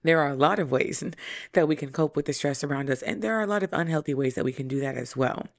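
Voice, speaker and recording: A woman in her thirties with a hoarse, raspy voice, hosting a solo podcast and explaining ideas in a conversational way, speaking into a stand mic in a small room. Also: sits low, fast talker. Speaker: podcast host. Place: small decorated room indoors. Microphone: studio mic on stand in front.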